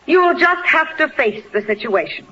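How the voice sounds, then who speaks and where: A woman's voice: high-pitched, animated, moderate pace. A woman playing an exasperated, stern but easily flustered schoolteacher in a 1940s radio comedy, precise and indignant. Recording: dull, hissy 1940s radio broadcast.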